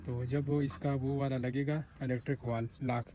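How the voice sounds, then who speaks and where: A man's voice: mid-range voice, little pitch movement, moderate pace, warm tone. Man talking through hands-on work, noisy background. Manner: informal, step-by-step, repeats himself. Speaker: installer presenting a tutorial. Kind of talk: hands-on tutorial narration while working. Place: on an installation site. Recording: clear background noise on location.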